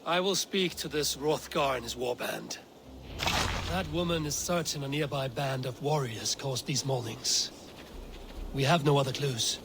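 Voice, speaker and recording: An actor playing a level-headed, battle-worn Viking warrior in a video game, measured and sober. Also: male voice, mid-range voice, heavy background noise, close to an everyday voice. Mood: thoughtful. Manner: measured, sober, archaic phrasing. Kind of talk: dramatic video game acting.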